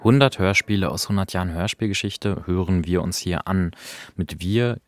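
A man's voice: low-pitched, normal speed, no room sound, studio quality. A man co-hosting a podcast, reflecting and explaining in an unscripted analytical conversation about radio plays. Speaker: podcast co-host.

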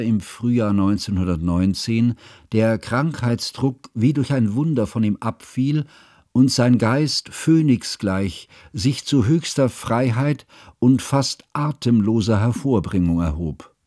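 An actor playing a learned, earnest humanist chronicler, narrating a literary radio play in formal, deliberate phrases. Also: male voice, medium pitch, darkish tone, no room sound, clean studio sound, lightly acted. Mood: reflective, solemn.

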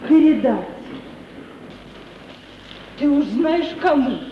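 Echoing, high-voiced young orphan girl. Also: lively intonation, extremely slow, distant mic, heavily acted. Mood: timid, pleading. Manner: earnest, plaintive. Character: young orphan girl, modest, wounded, proud. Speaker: actor. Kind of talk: 1950s Russian radio play acting. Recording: muffled, echoing old radio recording, slight noise.

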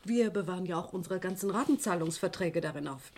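An actress playing a proper, efficient and discreet chief bookkeeper in a 1970s radio crime drama. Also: female voice, on the low side, expressive, quick pace, a little mellow. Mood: firm, correct.

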